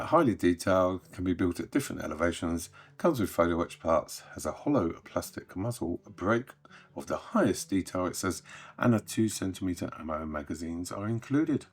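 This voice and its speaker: A middle-aged man with a very low, croaky voice, still getting over an illness. He runs through hobby news in an easy, chatty way and lets his sentences trail off quietly. Faint guitar music plays behind him. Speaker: host. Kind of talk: casual scripted hobby news update.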